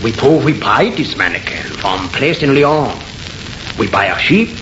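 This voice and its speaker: Through a noisy, muffled old radio recording comes a frightened French shopkeeper. He speaks slow, halting, broken English with a French accent, deferential and evasive, his pitch swinging widely. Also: very animated, reverberant. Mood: eager, defensive. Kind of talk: old-time radio horror acting.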